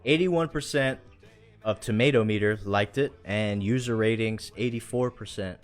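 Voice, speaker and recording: Low-voiced man chatting casually over music. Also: normal speed. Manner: informal, slangy, rambling. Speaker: show host. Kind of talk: casual chat about video game movies.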